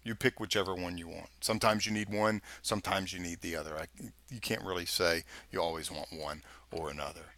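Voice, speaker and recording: A man with a Texas accent, walking through a software screencast in a casual, think-aloud way. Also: low voice, steady tone, brisk. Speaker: software instructor. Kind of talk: screencast tutorial walkthrough. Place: voice-over of a screen recording.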